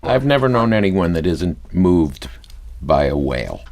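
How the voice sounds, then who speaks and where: A man reflecting somberly and haltingly in a panel discussion. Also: low voice, big pitch swings, darkish tone.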